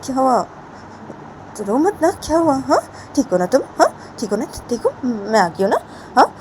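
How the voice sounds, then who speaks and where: A woman's voice: sits high, sing-song, moderate pace, darkish tone, a little hiss or hum, voice pushed up, low-quality recording. A woman voicing a tearful mother who keeps asking her crying daughter what happened, coaxing and repeating herself. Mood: soothing, anxious. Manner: coaxing, repeats soothing questions.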